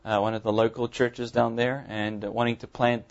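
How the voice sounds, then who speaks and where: A man in his thirties from South Africa, an Afrikaans speaker with a mild Afrikaans accent, giving his testimony from the pulpit of a church in an earnest, halting way with many ums. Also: mid-range voice, little pitch movement, muffled recording. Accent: Afrikaans-accented English, mild. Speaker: visiting pastor. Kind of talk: sermon testimony to a congregation. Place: church sanctuary.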